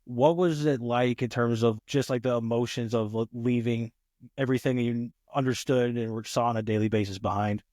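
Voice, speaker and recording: Young male host, casual chat, mid-pitched voice, dry close sound, long meandering questions. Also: fairly even intonation, fairly quick, a little mellow, no room sound. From Nebraska, United States. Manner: rambling, heavy use of "like". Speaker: podcast host.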